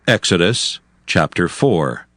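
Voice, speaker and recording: A man narrating scripture from a script in a measured, formal manner. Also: low-pitched, sing-song, a bit bright, no room sound.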